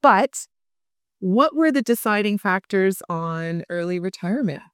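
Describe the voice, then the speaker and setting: A woman's voice: mid-range voice, lively intonation, a little slow, fairly bright tone, clear, resonant tone, no echo, clean studio sound. A woman in her forties from Canada, co-hosting a podcast and leading the conversation in a friendly, casual way. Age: 40s. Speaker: podcast co-host. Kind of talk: casual chat.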